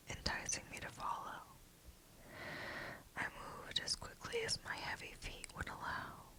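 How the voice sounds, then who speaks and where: A storyteller whispering a first-person story in a soft, hushed voice throughout. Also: rough recording.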